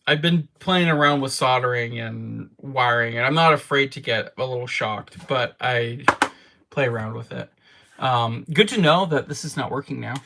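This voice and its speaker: A man's voice: medium pitch, normal speed, vocal fry, sharp tone. A man in casual livestream chat from his game room, answering viewer comments in a rambling way and laughing at himself often. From Canada. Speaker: streamer.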